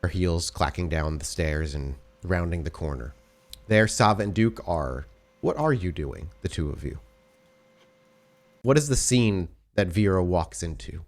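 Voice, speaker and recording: A man's voice: low voice, expressive, measured pace. A man working as game master, improvising narration and talking rules in a tabletop roleplaying game.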